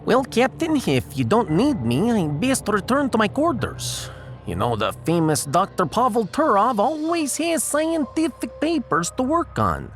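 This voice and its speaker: A man's voice: very high-pitched, wildly swooping pitch, unhurried, faint music behind, pitched above the performer's own voice, heavily acted. A man voicing a pompous, evasive mad scientist in a comic sci-fi audio drama. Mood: shifty, evasive. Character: vain, shifty male mad scientist, self-important and evasive.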